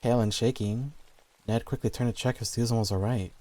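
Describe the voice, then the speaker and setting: A man's voice: mid-range voice, steady tone, brisk, mellow. A man reading scripted horror stories as the narrator.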